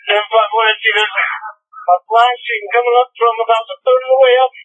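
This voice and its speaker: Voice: mid-range voice, level tone, normal speed, faint music behind. A police officer making urgent emergency calls over a two-way radio, heard through a scanner. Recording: narrow, tinny police scanner radio audio.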